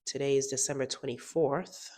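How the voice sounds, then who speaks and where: A woman's voice: very deep voice, fairly even intonation, moderate pace, darkish tone. A woman with a stuffy nose from a cold, chatting casually through a gardening how-to vlog and saying "y'all" often. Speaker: gardening vlogger.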